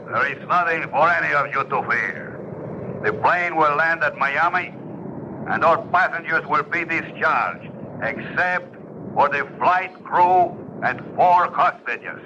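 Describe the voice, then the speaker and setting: A cold, formal hijacker, laying down orders slowly and deliberately. His voice sits a touch high. The old radio recording is dull, with clear hiss under it. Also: expressive. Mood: commanding. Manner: formal, commanding announcements. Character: cold male hijacker seizing a plane. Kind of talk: 1970s radio drama acting.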